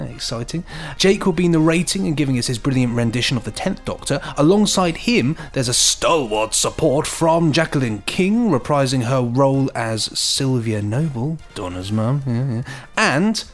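A man's voice: mid-range voice, lively intonation, moderate pace, soft background music. Jokey British man bantering on a podcast. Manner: jokey, whimsical, digressive. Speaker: podcast host. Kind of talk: casual comic banter and news reading.

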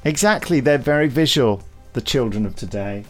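A man's voice: mid-range voice, lively intonation, moderate pace, faint music behind. A British man hosting a podcast in casual, teasing chat. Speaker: podcast host.

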